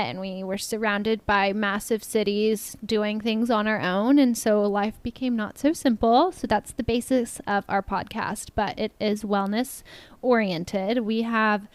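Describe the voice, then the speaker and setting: A young woman with a slight Texan twang, a podcast guest chatting casually, upbeat and quick to laugh, saying "like" often. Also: fairly high pitch, fairly even intonation, clean tone, no echo. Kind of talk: casual interview chat.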